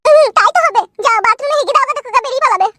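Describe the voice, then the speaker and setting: A woman's voice: extremely high pitch, very noisy. A woman voicing a sulky, naive young wife in a comedy cartoon, talking in a whiny way. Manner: whiny, quick.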